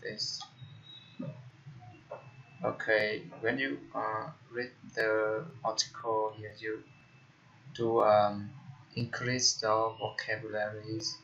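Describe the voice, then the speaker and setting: A young man with a Vietnamese accent speaks slowly in a flat, low-pitched voice with a bright edge, halting often on fillers as he walks through a tutorial. He is recorded at his computer on a dull, lo-fi mic with a faint hiss underneath. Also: vocal fry. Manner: halting, frequent "uh" fillers and restarts. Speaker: tech tutorial host. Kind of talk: casual screen-recorded tutorial. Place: indoor room at a computer.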